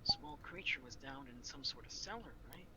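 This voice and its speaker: A man's voice: fairly high pitch, wildly swooping pitch, moderate pace. A man chatting casually in character during an improvised online role-play game. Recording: noisy online game voice chat.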